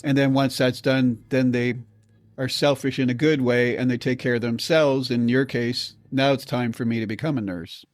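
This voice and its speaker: Older man, podcast host, mid-pitched, halting delivery, dry close mic. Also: fairly even intonation, no echo. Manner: halting, repeats words when starting sentences. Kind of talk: podcast interview.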